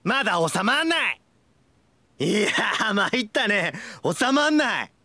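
High, manic anime goofball. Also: male voice, very animated, dry recording, exaggerated voice. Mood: cheerful, odd. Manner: manic, shouting, drawn-out yells, abrupt bursts. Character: hyperactive, goofy, cheerful young man, an anime oddball. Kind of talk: exaggerated anime game voice clips.

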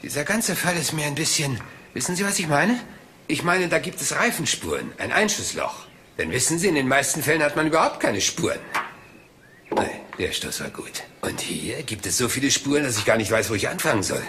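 A man's voice: mid-range voice, sing-song, fairly quick, noisy background, close to an everyday voice. An actor playing a rumpled, polite but shrewd police detective in a German radio crime drama, rambling and hesitant as he casually asks questions. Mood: musing, puzzled.

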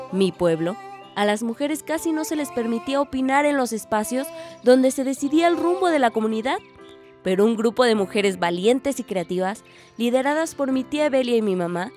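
An actress playing a hopeful young village woman, narrating a radio drama in an earnest, measured way. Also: fairly high pitch, darkish tone, natural voice. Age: young adult.

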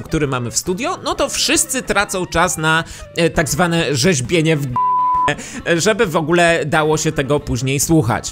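A man's voice: on the high side, a bit bright. A man in his thirties presenting a scripted vlog to camera on a close studio microphone. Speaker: YouTube host. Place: small decorated home studio. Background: faint music.

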